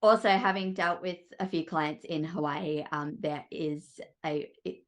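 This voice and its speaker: Low-voiced Australian woman, chatty. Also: steady tone, unhurried. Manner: chatty, rambling, full of "you know". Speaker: interior designer and business mentor. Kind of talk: casual podcast interview.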